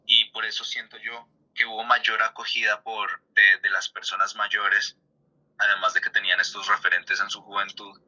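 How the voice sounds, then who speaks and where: A man answering conversationally in an interview, halting and repeating words as he searches. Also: on the low side, flat delivery, sharp tone, highs cut off. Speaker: theatre performer being interviewed.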